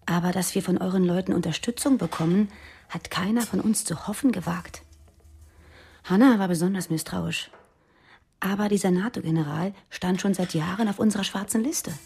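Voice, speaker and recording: An actress playing an uneasy young militant woman confiding secrets in a radio drama, hushed, urgent and earnest. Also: medium pitch, moderate pace, gravelly, husky. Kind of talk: German radio drama dialogue.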